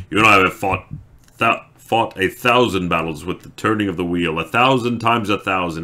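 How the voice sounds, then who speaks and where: A man reads out a scornful villain who serves the dark, loud and declaiming, building toward shouts. The voice sits deep but with a forceful, bright edge, as if read from a fantasy storybook. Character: gloating male dark servant, menacing and scornful.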